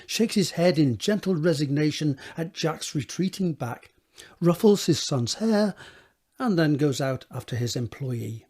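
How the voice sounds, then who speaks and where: A man narrating scripted stage directions for an audio drama in a measured, descriptive reading. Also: on the high side, a little mellow, husky.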